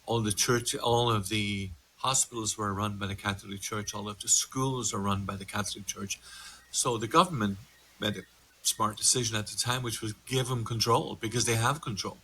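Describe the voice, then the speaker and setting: A man's voice: on the low side, fairly even intonation, bright, forceful tone, slight background noise, low-quality recording. An older man with an Irish accent, a meditation teacher telling his own story earnestly and reflectively in a group conversation. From Ireland. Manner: earnest, reflective, slow and fast by turns.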